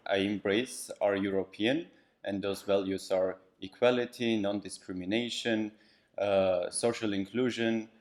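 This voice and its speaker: Low-voiced man from Armenia. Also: steady tone, measured pace. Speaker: event participant. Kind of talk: casual on-camera interview.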